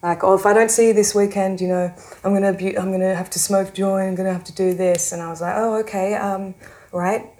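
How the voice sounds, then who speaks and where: A woman's voice: mid-range voice, fairly even intonation, brisk, dark tone. A woman guest casually telling a personal story, a little hesitantly, slipping into quoted remarks. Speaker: interview guest.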